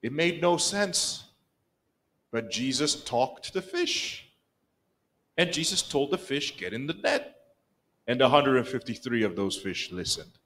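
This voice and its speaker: A man's voice: medium pitch, unhurried, pauses often. Rwandan preacher guy telling a bible story in a church, acts out the voices, kinyarwanda first language. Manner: animated storytelling, acts out quoted lines. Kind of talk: sermon. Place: church sanctuary, mid-sized room. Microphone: pulpit microphone, filmed by a far camera.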